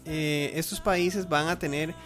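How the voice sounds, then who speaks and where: Casting: Costa Rican man, lightly high voice, informal halting radio host over faint music. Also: steady tone, fairly bright tone. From Costa Rica. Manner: halting, repeats words while searching.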